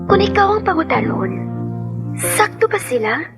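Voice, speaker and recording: A woman's voice: very high-pitched, sing-song, moderate pace, creaky. A woman giving scripted dramatic first-person narration as a letter sender in a radio drama. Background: music.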